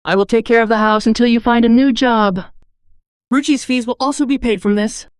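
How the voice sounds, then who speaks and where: A woman's voice: a little high, fairly even intonation, a little fast, darkish tone, clean tone, dry recording, clean studio sound, lightly acted. A woman voicing a hardworking, dutiful young married woman, weary but patient, speaking in an earnest, pleading way. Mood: calm, earnest.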